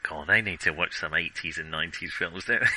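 Bright-voiced man, self-mocking podcast host. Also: low-pitched, a little fast, a little hiss or hum. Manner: self-mocking, laughs at own slips. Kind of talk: casual film podcast chat.

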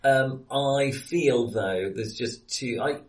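A man's voice: mid-range voice, slow, distant mic. A man co-hosting a casual film discussion, feeling his way through his opinions with hesitant, self-correcting speech. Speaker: podcast co-host.

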